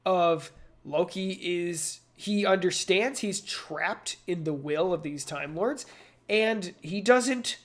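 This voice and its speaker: A man's voice: sits high, takes it slowly, fairly bright tone. Musing male podcast co-host. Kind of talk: casual pop culture discussion.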